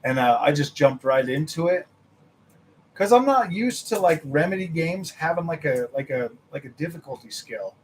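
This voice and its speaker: A young man hosting a gaming channel, chatting casually with his viewers, animated and laughing, his voice a little worn from nearly losing it. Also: moderate pace, slight background noise. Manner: animated, laughing, mimics others in a high voice. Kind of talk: casual livestream chat with viewers.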